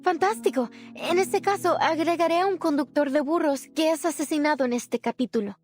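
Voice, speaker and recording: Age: young adult. Figure: an earnest young female storyteller from a game, searching and pleading for guidance, as a woman voices her. Pitch: high. Backing: faint music. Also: fast, a little mellow, close to an everyday voice. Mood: pleased. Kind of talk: game voice acting.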